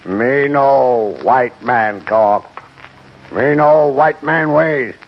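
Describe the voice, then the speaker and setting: A man playing a proud, stern chief in a radio western, speaking halting, clipped broken English in a gravelly voice. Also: mid-range voice. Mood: stern, demanding. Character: proud, stern Comanche chief, terse and mistrustful. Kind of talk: old-time radio western acting. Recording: muffled, hissy old radio broadcast.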